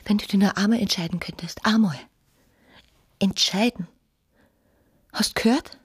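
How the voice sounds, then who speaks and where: An actress playing a bitter, demanding mistress with a husky, breathy voice in a radio drama. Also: female voice, medium pitch, measured pace.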